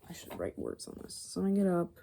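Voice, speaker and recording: A woman doing soft, rambling ASMR, musing hesitantly as she thinks aloud, her voice fading toward whispers. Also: fairly low pitch, wildly swooping pitch, a little fast, gravelly, a little hiss or hum. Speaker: ASMR video creator.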